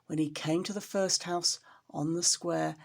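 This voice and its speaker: A woman's voice: lowish voice, fairly even intonation, a little slow, vocal fry, a little mellow. A woman storyteller reading a children's storybook expressively, with dramatic emphasis on repeated words.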